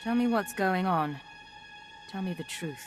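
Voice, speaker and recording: A composed female intelligence agent from a video game, with a slightly low voice and a measured, serious delivery. She is stern and earnest, and music plays clearly behind her. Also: expressive.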